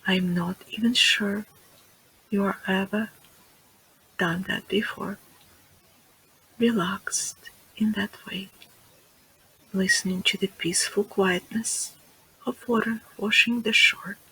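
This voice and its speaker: A guide leading a relaxation meditation in a soft, breathy, hushed voice that often sinks near a whisper, with soothing phrases and pauses. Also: mid-range voice, fairly even intonation, low-quality recording.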